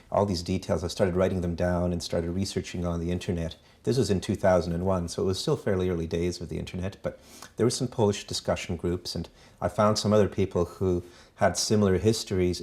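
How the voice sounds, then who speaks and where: Middle-aged Canadian-born man, very deep voice, thoughtful and halting, personal history storyteller. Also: a little mellow. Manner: measured, many ums and uhs. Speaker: foundation president.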